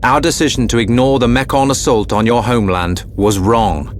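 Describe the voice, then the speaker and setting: An actor playing a dignified, courteous prince in a video game, speaking formally and solemnly. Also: male voice, medium pitch, fairly even intonation, normal speed, a little hiss or hum, close to an everyday voice. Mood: solemn, contrite. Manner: formal, stately. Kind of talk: video game cutscene acting.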